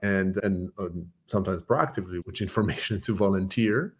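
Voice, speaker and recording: A man's voice: deep voice, moderate pace. A man hosting a conversational tech podcast, thoughtfully building his questions step by step. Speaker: podcast host.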